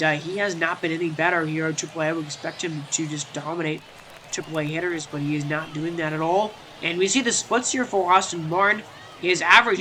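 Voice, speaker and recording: A man's voice: fairly high pitch, pushed and bright, a little hiss or hum, poor audio. A young man with a stuffy, congested voice doing chatty play-by-play commentary over a baseball video game, full of fillers and restarts and rising when a big play happens.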